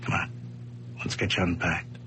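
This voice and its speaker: A man's voice: moderate pace, sharp tone, a little hiss or hum, natural voice. A man playing wisecracking buddy Larry. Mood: gentle. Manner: reassuring, dry joking. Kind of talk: old-time radio drama acting.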